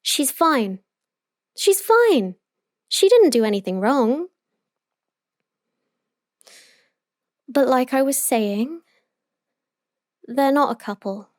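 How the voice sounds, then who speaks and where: A woman playing an obsessive, possessive young yandere in an intimate roleplay audio monologue, telling her story with deliberate emotional intensity. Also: high voice, very animated, no echo, studio quality, full character voice. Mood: insistent, lovesick.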